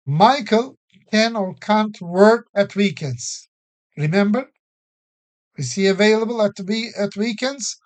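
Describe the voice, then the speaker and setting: Male teacher, slow and steady, explains English grammar patiently with plenty of examples. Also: high-pitched. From Turkey. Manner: patient explanations with many everyday examples and frequent fillers. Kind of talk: recorded classroom-style English lesson.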